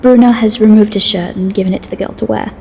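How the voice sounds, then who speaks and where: A woman's voice: mid-range voice, steady tone, fast, dark tone, a little hiss or hum. A woman reading scripted story narration as the narrator of an audio drama.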